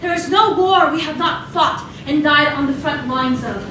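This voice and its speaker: A woman's voice: high-pitched, moderate pace, bright, forceful tone, a little hiss or hum. A woman performing impassioned, rhythmic spoken-word poetry in a live cabaret show on a theater stage. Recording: echoey stage from a distant mic.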